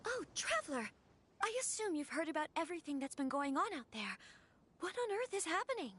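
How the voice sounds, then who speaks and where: An actress playing a troubled young noble lady in a video game, speaking in a measured, formal, reflective way. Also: very high voice, sing-song, fairly bright tone, full character voice. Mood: anxious.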